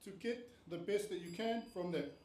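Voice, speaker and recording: A man's voice: high-pitched, moderate pace, a loud crowd. A man who grew up in Tonga, lecturing on a panel about research stages, earnest and explanatory, often asking rhetorical questions. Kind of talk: academic panel presentation. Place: panel venue room. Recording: roomy, distant mic, faint noise.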